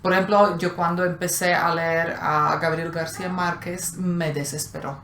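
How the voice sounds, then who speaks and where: A woman's voice: on the low side, fairly even intonation, normal speed, over a noisy crowd. A woman speaking, co-hosting a casual conversation; she talks thoughtfully and hesitates often. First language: Slovak. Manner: thoughtful, hesitant, many fillers. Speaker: co-host. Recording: echoey room.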